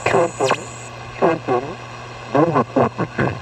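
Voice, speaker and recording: Heavy noise and crackle bury a far-off man on shortwave. His creaky voice gives terse, impersonal station announcements in short formal phrases. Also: a little high, sing-song, measured pace. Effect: shortwave radio. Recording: crackly distant shortwave, very noisy.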